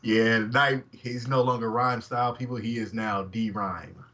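A man's voice: mid-range voice, steady tone, measured pace, highs cut off, background noise. A man joking around on a podcast, wisecracking and playful. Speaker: podcast co-host. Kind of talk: casual podcast banter.

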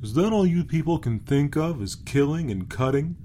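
A man's voice: a little high, lively intonation, moderate pace, warm tone, pitched above the performer's own voice. A man voicing a huge, civilized pig-like Martian creature in a storybook, polite, dignified and plaintive. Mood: dismayed.

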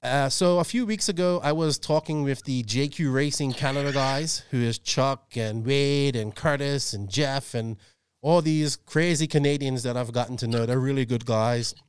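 Podcast host guy, rambling and loose, smooth clear voice, super dry close mic. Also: medium pitch, normal speed, no echo. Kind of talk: casual chat.

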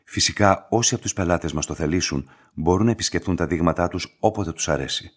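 Βαθύφωνος, μυστηριώδης διευθυντής εργαστηρίου. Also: male voice, low voice, husky. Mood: grave, measured. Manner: measured, formal, calm. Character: composed, courteous, enigmatic male specimen laboratory director. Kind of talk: scripted radio drama dialogue.

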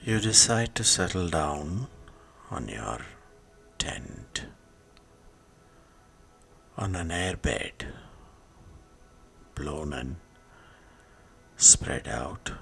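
A man guiding a sleep meditation, speaking soothingly in short hushed phrases with long pauses, often fading into a breathy whisper. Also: a bass voice. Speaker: meditation guide. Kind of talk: guided sleep meditation narration. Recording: music behind the voice. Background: meditation music.

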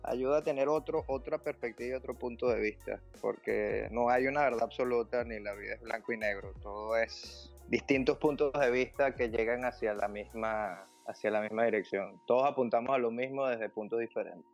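A man's voice: medium pitch, fairly quick, vocal fry, few pauses, soft background music. A man from Venezuela with a Venezuelan accent, talking as a podcast guest in a reflective, grateful way. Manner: grateful, reflective, frequent "este" fillers. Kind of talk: casual conversational interview.

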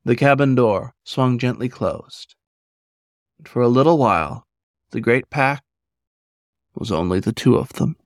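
A man's voice: mid-range voice, lively intonation, unhurried, long pauses, no echo. Man narrating a story, dry sound. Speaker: author reading own story.

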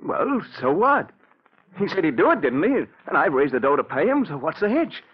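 An actor playing an anxious, guilt-ridden American husband in a melodramatic 1940s radio drama. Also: male voice, fairly high pitch, big pitch swings, breathy edge. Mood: agitated. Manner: agitated, melodramatic, halting. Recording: muffled old 1940s radio recording.

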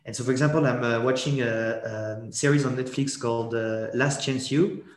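A man with a French accent talks as a guest on an interview podcast, his pitch sitting in an ordinary middle range. He rambles and restarts his sentences often. The room around him sounds echoey and bare. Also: steady tone, normal speed. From born in Geneva to French parents. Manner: rambling, many restarts and fillers.

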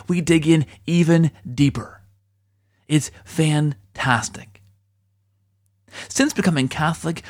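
A man hosting an interview podcast, speaking in an upbeat, earnest and effusive way. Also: fairly high pitch.

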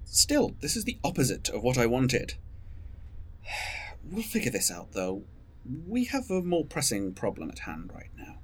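Husky, very animated, controlling leader acted out. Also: mid-range voice, measured pace, heavily acted. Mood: stressed, weary. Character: stressed, controlling, pragmatic leader of a secret plan. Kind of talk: audio drama dialogue.

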